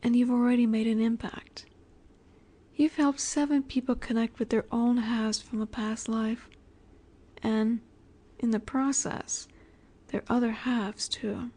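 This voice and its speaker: A woman playing a poised, teasing young vampire in an intimate audio roleplay, speaking gently and unhurriedly with breathy asides. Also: on the high side.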